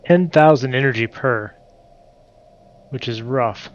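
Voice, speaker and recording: A man's voice: mid-range voice, slow pace, highs cut off, slight background noise. Stuffed-up man muttering over a game. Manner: thinking aloud, muttering to himself. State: stuffed up from allergies. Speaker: game streamer. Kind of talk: casual multiplayer gameplay commentary.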